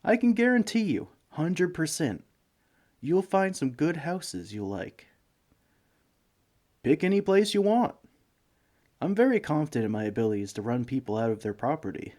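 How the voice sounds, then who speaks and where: A man's voice: fairly high pitch, sing-song, moderate pace, long pauses, darkish tone. A man playing a broke, bumbling young villain neighbor in a comedic audio roleplay, rambling sheepishly and hesitantly as he talks to one listener. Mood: persuasive, boastful. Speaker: roleplay voice actor.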